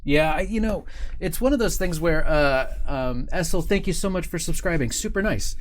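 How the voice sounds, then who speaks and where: A man's voice: a little high, animated, normal speed. Casual male stream host over background noise. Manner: friendly, greets and thanks viewers mid-talk. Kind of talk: casual unscripted stream chat.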